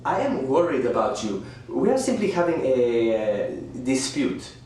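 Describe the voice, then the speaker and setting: A man playing a smug, manipulative houseguest in a radio thriller, measured, falsely reasonable and condescending. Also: medium pitch, sing-song, darkish tone. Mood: defensive. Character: smug, manipulative male houseguest who refuses to leave. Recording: roomy, distant, faint background noise.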